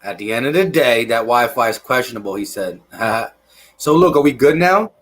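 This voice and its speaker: A man hosting a casual live-streamed sports podcast, reading out viewer comments in a relaxed, laid-back way over a video call. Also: medium pitch, moderate pace. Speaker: podcast host reading chat.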